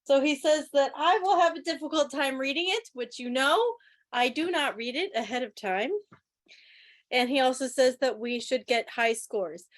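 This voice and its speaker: A woman's voice: high-pitched, normal speed. A woman hosting a club quiz on a video call, with a playful, teacherly manner. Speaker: quiz host. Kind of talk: club quiz hosting, reading questions aloud.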